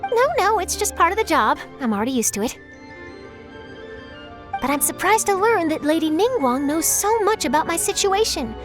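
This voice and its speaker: A woman's voice: very high-pitched, big pitch swings, moderate pace, music in the background. An actress playing an eager young female newspaper journalist in a video game. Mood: modest, surprised. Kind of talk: video game character dialogue.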